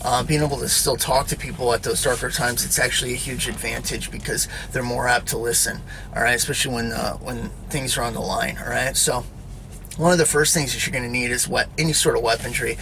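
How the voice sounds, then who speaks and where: A man's voice: medium pitch, steady tone, a little fast, creaky, sharp tone, slight background noise, low-quality recording. A man giving a casual, rambling spoken sermon, often checking in with "all right?" and "you know".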